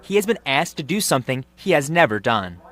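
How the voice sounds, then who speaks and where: A man's voice: on the high side, big pitch swings, fairly quick, fairly bright tone, a little hiss or hum. A man working as a TV news reporter, delivering scripted broadcast narration and live reports.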